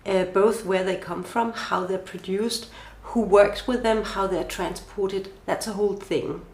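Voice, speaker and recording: A woman's voice: mid-range voice, steady tone, measured pace, a little mellow. Danish-accented woman in a roomy space. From Denmark. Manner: thoughtful, lists questions, some restarts. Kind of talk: podcast interview answers. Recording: roomy echo.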